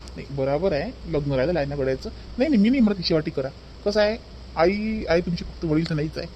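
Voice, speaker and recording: Man playing a high-voiced, questioning visiting relative. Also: animated, fast, a little mellow, dull audio, a little hiss or hum, close to an everyday voice. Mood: reassuring, advising. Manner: questioning, code-switches between Hindi and Marathi. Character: visiting educated brother-in-law, polite, advising, mildly probing. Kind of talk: cartoon family dialogue.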